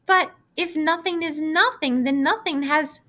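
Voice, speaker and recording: A woman's voice: high-pitched, unhurried, bright, forceful tone, voice pushed up. A woman voicing a young, lonely male pig in a storybook, earnest and emphatic. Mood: indignant. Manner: pleading, argumentative, emphatic.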